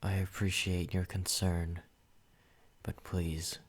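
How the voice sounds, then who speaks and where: Deep-voiced obsessive vampire lover, played by a man, slow, flat, hushed ASMR delivery. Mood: intimate. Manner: soft, intimate, slow and controlling, slipping into whispers. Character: possessive male yandere vampire, obsessive and menacing. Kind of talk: ASMR roleplay.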